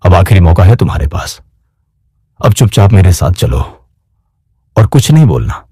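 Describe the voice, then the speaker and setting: A man's voice: low voice, big pitch swings, normal speed, dark tone, slightly rough, no room sound, studio quality, exaggerated voice. A man voicing a villainous senior officer in a storybook tale, taunting and threatening with gloating menace. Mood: gloating threat.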